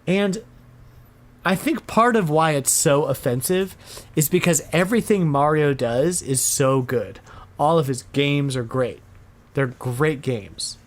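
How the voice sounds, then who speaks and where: A man's voice: on the high side, animated, measured pace. A man hosting a podcast in a casual chat, informal and emphatic, repeating himself to make a point. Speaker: podcast host.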